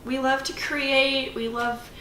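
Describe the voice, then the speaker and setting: A woman's voice: high-pitched, level tone, slow, echoey room, far from the mic, background noise. A woman around twenty co-hosting a casual vlog, chatty and giggly. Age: 20s. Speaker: channel co-host. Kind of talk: casual chat.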